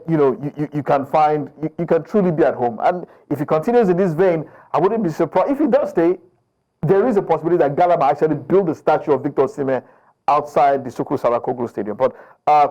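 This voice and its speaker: A male sports show host, briskly affirming and summing up the guest's points. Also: fairly high pitch, mellow, muffled recording. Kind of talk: broadcast sports talk.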